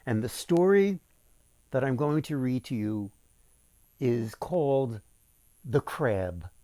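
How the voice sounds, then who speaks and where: A man reading a folktale aloud as its author, with deliberate, dramatic phrasing for young listeners. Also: mid-range voice, expressive, mellow, dry recording.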